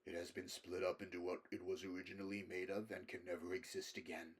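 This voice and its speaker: Grave learned man, male reader in character, very deep, flat intonation, solemn careful delivery, horror storybook. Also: quick pace, slightly rough. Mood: grave, measured.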